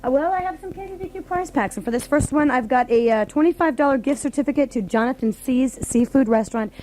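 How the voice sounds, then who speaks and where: Casting: American woman as local morning radio host, soft-edged higher voice, casual live phone-in banter. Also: fast, a little hiss or hum, low-quality recording. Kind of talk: live radio chat with callers. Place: radio studio.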